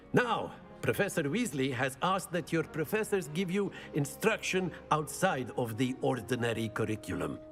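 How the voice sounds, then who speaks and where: Game role: theatrical older wizard teacher, playful and formal, swooping intonation, light music behind. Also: male voice, fairly high pitch, very animated, a little slow, a bit bright, full character voice. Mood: genial, wry. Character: genial, theatrical older male charms professor. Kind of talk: video game dialogue acting.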